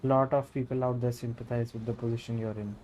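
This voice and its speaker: A man's voice: mid-range voice, little pitch movement, quick pace, darkish tone, slight background noise, low-quality recording. A man who is a native Hindi speaker, reading game dialogue aloud as a streamer. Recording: game music clearly behind.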